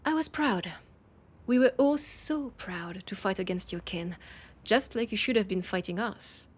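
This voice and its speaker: Woman playing old french-accented vampire lady, really slow n husky, wistful proud war veteran, close intimate audio drama thing. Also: mid-range voice. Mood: proud, nostalgic. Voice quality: husky, breathy. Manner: slow, sighing, lingering pauses. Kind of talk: intimate scripted audio roleplay.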